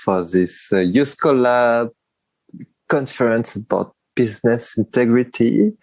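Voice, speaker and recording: A man hosts a podcast and rambles through his welcome, restarting and laughing as he goes. His voice sits at a middling pitch. The sound is dry, like a video call. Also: unhurried, darkish tone, dry recording. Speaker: podcast host. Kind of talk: casual interview chat. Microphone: remote video call.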